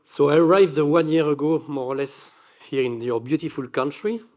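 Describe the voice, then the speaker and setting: A man's voice: on the high side, moderate pace, a little mellow. A man from Belgium with a French accent, a non-native English speaker, giving a friendly talk to an audience. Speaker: keynote speaker. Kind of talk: speech to an audience.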